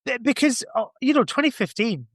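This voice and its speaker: A man's voice: extremely high pitch, animated, brisk, no echo, studio quality. A man chatting casually on a podcast in an informal, reflective and enthusiastic way. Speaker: podcast speaker. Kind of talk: casual chat.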